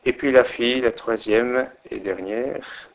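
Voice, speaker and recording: A middle-aged man from Portugal, answering interview questions hesitantly and pausing often. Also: medium pitch, creaky, poor audio. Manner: hesitant, many fillers and restarts. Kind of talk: oral history interview.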